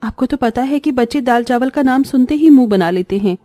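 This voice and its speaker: A woman's voice: high-pitched, animated, fairly quick, mellow, dry recording. A woman playing a poor, self-sacrificing young mother in a cartoon story, earnest and plaintive. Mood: reproachful. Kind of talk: Hindi cartoon story voice acting.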